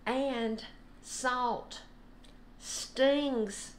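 An older woman giving an informal, unhurried devotional talk. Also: sits high, fairly even intonation. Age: older, about 70s. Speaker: devotional host. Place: home kitchen, indoors.

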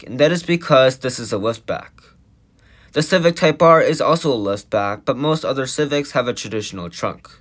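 A man's voice: mid-range voice, a bit bright, no room sound. Man narrating a car walkaround. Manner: plain, matter-of-fact listing of features. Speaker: car reviewer.

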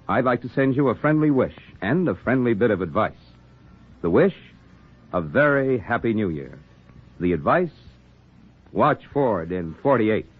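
Lively male announcer, old narrow-band radio. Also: medium pitch, husky. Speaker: commercial announcer. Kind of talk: scripted 1940s radio advertising. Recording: muffled, narrow-band 1940s radio recording.

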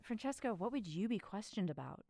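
A sardonic, weary woman in a sci-fi audio drama, acted by a woman. Her middle-pitched voice is expressive and closely recorded, with a guarded edge of sarcasm. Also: brisk, vocal fry, no room sound. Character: guarded, sardonic working woman with cybernetic arm.

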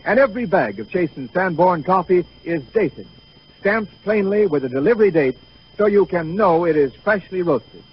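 High-voiced, husky old-time radio announcer man. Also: animated. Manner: emphatic, measured salesmanship. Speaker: commercial announcer. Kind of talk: 1930s radio advertisement, scripted. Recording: muffled 1930s radio recording.

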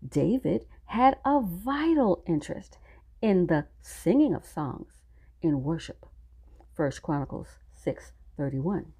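A woman's voice: fairly low pitch, animated, takes it slowly, dark tone, breathy edge. A woman teaching a Bible study class, reading scripture aloud in an earnest, instructive way and addressing her listeners directly.

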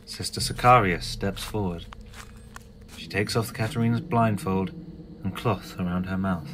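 Low-voiced male drama narrator. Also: steady tone, measured pace, fairly bright tone, a little hiss or hum. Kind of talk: scripted audio drama narration.

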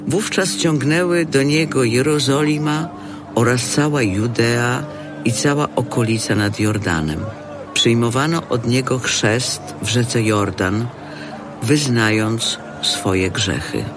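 A man's voice: medium pitch, takes it slowly, music in the background. A man delivering scripted gospel narration for an audio drama.

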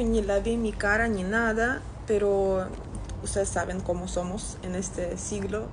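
A woman's voice: mid-range voice, a little mellow. Russian-accented woman chatting over background noise. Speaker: social media content creator. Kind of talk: casual chat to camera, replying to comments. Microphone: phone at arm's length.

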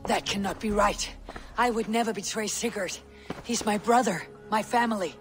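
A woman's voice: mid-range voice, lightly acted. Woman playing young Viking warrior, female, proud, defiant, formal and grave, game cutscene, faint music underneath. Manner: grave, deliberate, formal phrasing. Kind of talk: dramatic video game cutscene acting.

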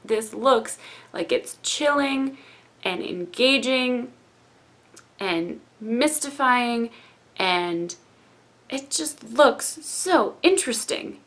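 A young woman hosting a trailer reaction in a room lined with bookshelves, hoarse from losing her voice and chatting in a gushing, excited way into a desk webcam. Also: on the high side, extremely slow. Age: young adult. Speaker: YouTube reaction host.

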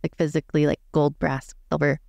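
A woman's voice: sits low, little pitch movement, fairly quick, vocal fry, darkish tone, no room sound, clean studio sound. A woman chatting casually as a tabletop game player, thinking aloud and asking questions.

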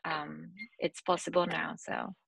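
A Japanese woman in her thirties on a video call, speaking English as a second language with many restarts and searching pauses. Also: sits low, little pitch movement, gravelly, a bit bright, breathy edge. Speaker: panelist. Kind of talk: panel discussion over video call. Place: small room indoors. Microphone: earbuds on a video call.

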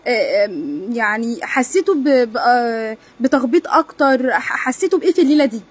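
A woman with an Egyptian accent asks probing interview questions, haltingly, repeating phrases as she forms them. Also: a little high, lively intonation, breathy edge. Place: outdoors in a street.

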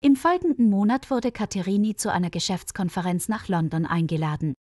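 A woman's voice: mid-range voice, fairly quick, darkish tone, no echo, studio quality. A woman reading a scripted story for language learners, enunciating carefully. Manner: carefully enunciated, read-aloud delivery. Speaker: narrator.

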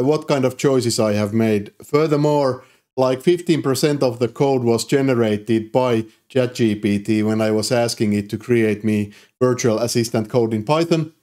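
A man in his thirties with a Finnish accent, casually walking through his code in a tutorial, with frequent fillers. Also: mid-range voice. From Finland. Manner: relaxed, explanatory, frequent "uh" fillers. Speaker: programming YouTuber. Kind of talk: casual screen-recorded coding tutorial. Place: home office, indoors.

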